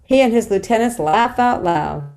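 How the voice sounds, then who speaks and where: A woman's voice: on the high side, animated, moderate pace, a little mellow. A woman reading stage directions as narrator at a screenplay table read.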